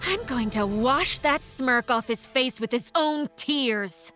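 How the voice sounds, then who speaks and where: A woman's voice: sits high, lively intonation, slow, bright, forceful tone, faint music behind, exaggerated voice. A woman playing a hot-headed, spirited young commander in a video game cutscene, speaking with vehement emphasis. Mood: defiant.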